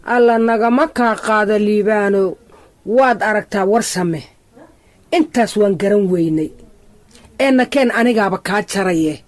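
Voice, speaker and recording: A woman's voice: mid-range voice, moderate pace, pauses often, lightly acted. A woman with a Somali accent playing a cautious, scolding grandmother in a radio drama, questioning and reproachful. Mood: relenting.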